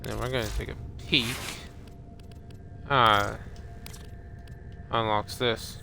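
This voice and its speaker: A man's voice: lowish voice, flat delivery, slow pace, gravelly, bright, forceful tone, slight background noise. A man giving casual live commentary as he plays a game, laid-back and half talking to himself. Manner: laid-back, muttering to himself, reading screen text. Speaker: game streamer.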